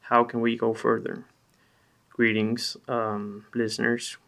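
Creaky-voiced man hosting a podcast. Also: mid-range voice, monotone, slow, bright, forceful tone. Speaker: podcast host. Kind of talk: reading a scripted topic, casual chat.

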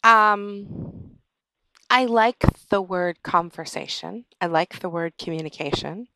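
Low-pitched woman hosting a thoughtful talk. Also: slow pace, bright, forceful tone, dry recording, low-quality recording. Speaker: podcast host. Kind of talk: conversational interview with reflective remarks.

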